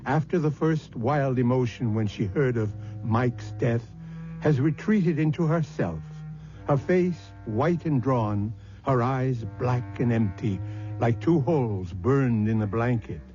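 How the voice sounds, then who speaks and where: Slow, ominous male radio mystery narrator. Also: medium pitch, mellow, faint music behind. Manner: ominous, deliberate, theatrical. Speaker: radio drama host and narrator. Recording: muffled old radio recording.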